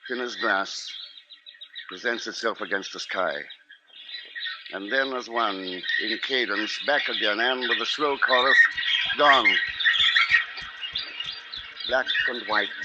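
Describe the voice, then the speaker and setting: An actor playing a troubled middle-aged writer recounting strange events in a deliberate, literary first-person 1950s radio drama narration. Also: male voice, mid-range voice, close to an everyday voice, rough recording. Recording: 1950s radio broadcast with loud music.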